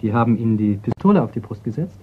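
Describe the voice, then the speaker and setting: A wry, blunt private detective played by a man in a radio crime play. He talks casually and briskly, his voice middling in pitch, through a tinny, slightly noisy recording. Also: lively intonation, close to an everyday voice. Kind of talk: radio crime drama acting. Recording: noisy, narrow-band radio play sound.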